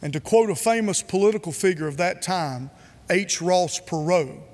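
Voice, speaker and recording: A man from North Carolina, giving an earnest, measured prepared speech with emphatic repetition. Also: sits high, lively intonation, darkish tone, big-room sound. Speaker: speaker.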